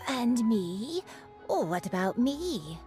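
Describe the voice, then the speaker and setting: Dreamy forgetful grandmother, slow, lilting voice, whimsical, video game. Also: a little high, big pitch swings, warm tone, faint music behind, exaggerated voice.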